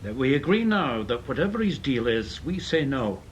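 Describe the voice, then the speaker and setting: A man's voice: medium pitch, big pitch swings, normal speed, highs cut off, slight background noise, close to an everyday voice. An actor playing a stubborn, hard-line British intelligence committee man in a radio drama, blunt and firm. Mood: adamant.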